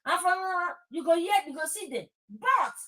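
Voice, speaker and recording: Nigerian lady with a really high voice, opinionated off the cuff talk, says stuff over and over, bit of pidgin. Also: expressive, a little slow, sharp tone. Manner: emphatic, repeats phrases, slips into Pidgin. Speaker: talk show host. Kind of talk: impassioned informal political talk.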